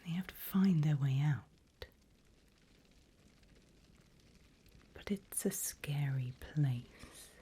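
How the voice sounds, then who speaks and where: Casting: actress as seasoned female demon guide for ASMR roleplay, low and very breathy, teasing menace in an unhurried whisper. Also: dark tone, exaggerated voice. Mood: ominous storytelling. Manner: slow, deliberate, teasing.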